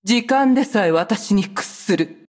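A woman voicing a proud, vengeful sorceress from a video game, speaking in a cold, haughty, deliberate manner. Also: mid-range voice, expressive, a little mellow. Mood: cold, scornful. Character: proud, vengeful sorceress who betrayed her cursed clan. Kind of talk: video game character voice lines in Japanese.

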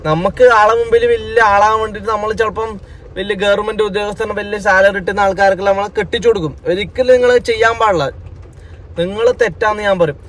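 A man's voice: sits high, fairly even intonation, fast, a bit bright. A man with a Kerala Malayalam accent giving unscripted news commentary, addressing people directly and admonishingly with repeated points. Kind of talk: unscripted opinion commentary to camera.

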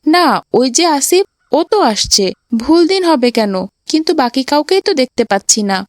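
A woman plays a polite young boy in a cartoon. The voice is high, eager and full of curiosity, as a well-behaved devout son. It is recorded dry. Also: very animated, normal speed, no room sound. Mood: puzzled. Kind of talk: cartoon voice acting in moral story.

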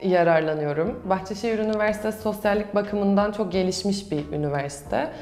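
A woman's voice: mid-range voice, brisk, a little mellow. Young woman in a roomy space. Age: young adult. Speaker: university student, interviewee. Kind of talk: promotional interview answers. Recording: roomy echo with music behind. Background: background music.

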